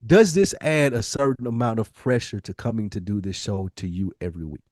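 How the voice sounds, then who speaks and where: A man's voice: fairly low pitch, brisk, a little mellow, no echo, clean studio sound. An American man co-hosting a podcast, talking casually and reflectively in an earnest, emphatic, colloquial way and repeating phrases as he builds his point. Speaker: podcast co-host.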